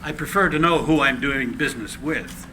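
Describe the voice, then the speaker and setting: A man's voice: mid-range voice, normal speed, natural voice. A man acting a rich, decisive, businesslike financier in a live script reading. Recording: noisy live room recording.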